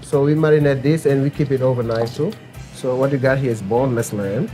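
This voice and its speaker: A man from Senegal with a Senegalese accent, Wolof his first language, a restaurant owner and chef talking calmly about his food and life. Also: mid-range voice, brisk, soft background music. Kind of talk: documentary interview, explaining his food.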